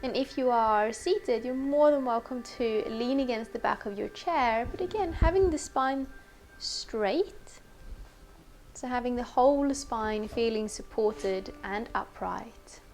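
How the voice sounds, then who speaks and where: A woman teacher leading a guided meditation in a gentle, unhurried, conversational way. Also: fairly high pitch.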